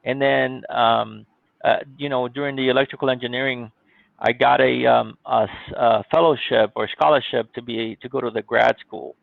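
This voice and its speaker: A man's voice: mid-range voice, fairly even intonation, normal speed, gravelly, no echo. A man, politely explaining his own story as a podcast interview guest. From born in Cuba, grew up in Miami, United States. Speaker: podcast guest, IT company CEO. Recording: thin, phone-like call audio.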